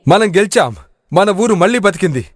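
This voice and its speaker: A man's voice: sits high, lively intonation, moderate pace, no echo, studio quality. Man acting a hearty village strongman. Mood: triumphant. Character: hearty, food-loving axe-wielding village strongman. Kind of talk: dramatic audio storybook acting.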